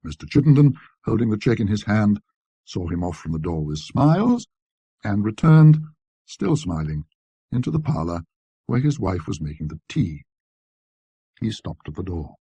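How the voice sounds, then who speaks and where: A man reads a classic ghost story with scholarly precision. His low voice is mellow and a little husky, rising and falling with great animation, in a close, dry recording. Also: big pitch swings, normal speed, pauses often, dry recording. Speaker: narrator. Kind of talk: scripted ghost story narration.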